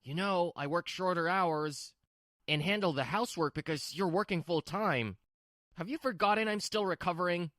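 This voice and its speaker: A woman's voice: fairly low pitch, normal speed, a bit bright, dry recording, studio quality, lightly acted. A woman voicing a stubborn, brusque husband who dotes on his little sister, in a scripted manga dub. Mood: defensive, irritated. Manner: brusque, defensive.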